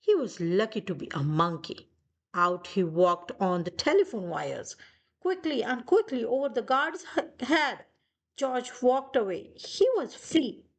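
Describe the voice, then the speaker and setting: A woman narrates a children's storybook at a slow, deliberate pace. Her voice sits fairly high and moves in wide, animated swings, giving the reading an expressive read-aloud feel.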